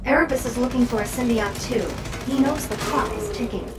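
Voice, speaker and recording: High, echoey drone companion voiced by a woman. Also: brisk, lightly acted. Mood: concerned. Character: wary, quick-witted female robot drone companion. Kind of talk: video game dialogue. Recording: roomy echo, distant from mic. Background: game music.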